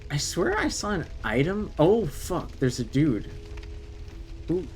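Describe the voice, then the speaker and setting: Slow-talking streamer man, French native speaker. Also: fairly high pitch, huge pitch swings, darkish tone, slight background noise. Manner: bursts of exclamations, swears, chats with viewers. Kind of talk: casual live gameplay commentary.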